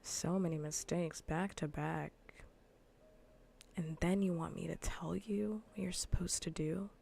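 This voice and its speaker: Female ASMR game streamer: very creaky, breathy, whisper-prone delivery, loose repetitive chatter, faint background noise. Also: lowish voice, fairly even intonation, a little slow, dark tone. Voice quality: breathy, often whispering, gravelly fry. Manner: casual, rambling, repeats phrases. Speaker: livestreamer. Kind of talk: soft ASMR gaming livestream chat. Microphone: close ASMR microphone.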